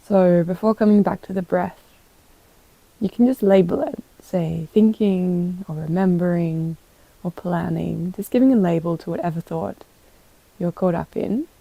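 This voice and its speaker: A woman guiding a meditation as a yoga teacher, calm and gentle, giving unhurried instructions with pauses. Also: on the low side, vocal fry, dry recording, muffled recording. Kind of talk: guided meditation.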